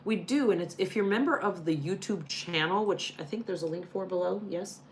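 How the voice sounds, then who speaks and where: An older woman talks in a low voice, chatty and quick to laugh. She is co-hosting a relaxed live Q&A. Faint noise sits under the recording. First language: Spanish. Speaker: co-host. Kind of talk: casual live Q&A chat.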